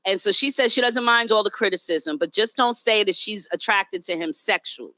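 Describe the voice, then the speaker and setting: A woman with a New York accent hosts a relaxed conversation, upbeat and encouraging. Her voice is bright and forceful at an everyday pitch, and it sounds dry and close. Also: a little fast, dry recording, rough recording. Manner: upbeat, encouraging, sets up topics. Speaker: podcast co-host. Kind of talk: hosting a casual chat show.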